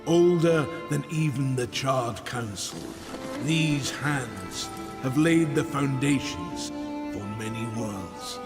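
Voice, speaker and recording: A weary ancient maker, slow and solemn. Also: male voice, mid-range voice, animated. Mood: grave. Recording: game cutscene with reverb and music.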